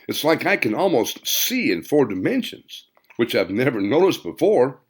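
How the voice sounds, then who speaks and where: A man's voice: medium pitch, big pitch swings, moderate pace, breathy edge, voice pushed up. A man voicing a puzzled, curious woman in an audiobook, hesitant and questioning. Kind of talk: audiobook character dialogue.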